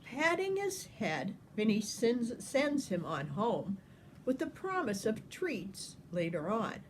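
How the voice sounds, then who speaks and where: An older woman reading a children's picture book aloud with expressive, deliberate phrasing, like a grandmother talking to young listeners. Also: on the high side, slight background noise.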